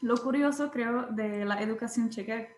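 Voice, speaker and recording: A young woman with a Czech accent, a teacher and blogger answering interview questions in a hesitant way, often pausing to search for words. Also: a little high, roomy sound, slight background noise. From Czech Republic. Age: young adult.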